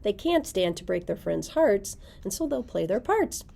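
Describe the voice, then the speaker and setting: A woman in middle age presents book recommendations in a mellow, relaxed tone. She reads the blurbs aloud as a librarian would, at a medium pitch, sharing a mic in a library room. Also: moderate pace, creaky. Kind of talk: reading book blurbs aloud with brief chat. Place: indoors, library room with bookshelves.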